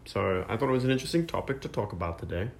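Low-voiced young man hosting a podcast. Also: animated, very fast, gravelly, slight background noise. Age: 20s. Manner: hesitant, lots of fillers and restarts. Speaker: podcast host. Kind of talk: casual podcast chat.

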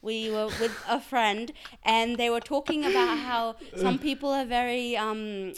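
A woman's voice: a little high, smooth. A woman in her twenties, born in Pakistan with Urdu as her first language, co-hosting a casual podcast chat with lots of laughter. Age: 20s. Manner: rapid, animated, laughing often. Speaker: podcast co-host.

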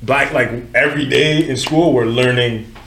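A man's voice: medium pitch, normal speed, creaky, fairly bright tone, roomy sound, a little hiss or hum, low-quality recording. A man in his twenties, from Nigeria, hosting a casual group podcast and talking things through in a conversational way with frequent self-corrections. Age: 20s. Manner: conversational, self-correcting, lots of "you know what I'm saying". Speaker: podcast host.

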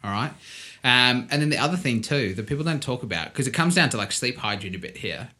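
Blokey South African man, bright forceful voice. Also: medium pitch, lively intonation, a little fast. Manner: blokey, informal and slangy, hesitant restarts, chuckles through his points. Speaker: podcast host. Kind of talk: casual, jokey podcast chat between mates.

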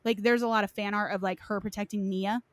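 Female, mid-pitched, informal podcast-style chat, very dry recording. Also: steady tone, fast, no echo. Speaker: podcast co-discussant on game lore. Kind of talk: casual chat.

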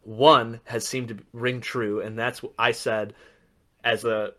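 A man's voice: medium pitch, level tone, slow, bright, forceful tone. A young man co-hosting a casual podcast, chatty and joking, with plenty of fillers. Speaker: podcast co-host. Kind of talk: casual chat.

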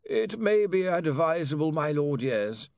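A man acts out a gloomy legal adviser in a storybook. The voice sits high and drags reluctantly through careful, deferential phrases, in a dry recording. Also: very animated, creaky, a little mellow, no room sound, full character voice. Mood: resigned. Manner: reluctant, deferential, measured, drawn-out.

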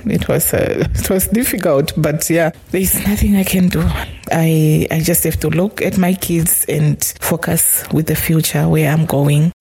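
A middle-aged woman from Zimbabwe with a Zimbabwean accent, telling her life story in an interview in a soft, halting, reflective way. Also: deep voice, steady tone, gravelly, a little hiss or hum, rough recording. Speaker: children's home caregiver.

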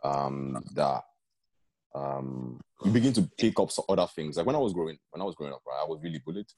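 Very deep-voiced man, earnest and chatty. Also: lively intonation, a little fast, warm tone, no echo. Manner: earnest, storytelling, keeps asking "do you know what I mean". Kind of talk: casual group chat.

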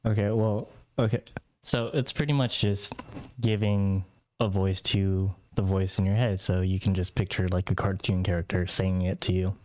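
A man's voice: deep voice, steady tone, moderate pace, darkish tone. A man co-hosting a casual podcast, talking in a hesitant way with frequent fillers and laughs. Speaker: podcast co-host.

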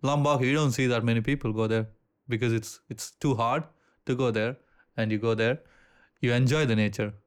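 A man with an Indian accent, co-hosting a casual podcast chat, relaxed, swearing freely and often restarting his sentences. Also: mid-range voice, moderate pace, clean tone. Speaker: podcast co-host.

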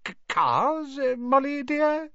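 A man's voice: medium pitch, big pitch swings, normal speed, no echo, muffled recording, pitched above the performer's own voice. A man voicing a tired, kindly middle-aged wizard father who stammers when flustered. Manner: stammering, sheepish, weary.

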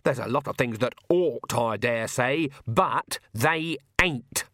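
A man voicing a proud, sharp-tongued old woman witch in a storybook reading, snapping and sarcastic. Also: mid-range voice, slow, no room sound, clean studio sound. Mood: indignant.

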